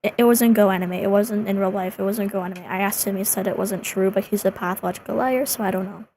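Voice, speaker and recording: A woman's voice: mid-range voice, steady tone, warm tone. Blunt swearing young woman, faint noise. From Japan. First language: Japanese. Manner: blunt, swears freely, quick asides. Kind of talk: casual chat.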